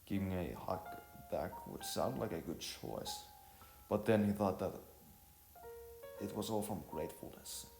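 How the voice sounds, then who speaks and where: A man narrates a story in a low, creaky voice. His reading is halting, with repeated words and stumbles. The voice sounds roomy, with music playing clearly behind it. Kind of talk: scripted story narration with character dialogue.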